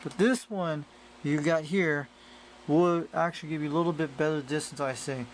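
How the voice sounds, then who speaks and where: A man's voice: a little high, moderate pace. A man giving a casual hands-on demonstration as a hobbyist, explaining in a rambling, repetitive way on a noisy amateur camera recording. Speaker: radio hobbyist presenter.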